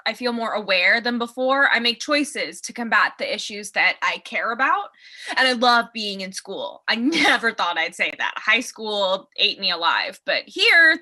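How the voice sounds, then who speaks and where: An actress playing an earnest, anxious, socially aware student in an emphatic staged-reading monologue. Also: female voice, on the high side, pushed and bright, exaggerated voice.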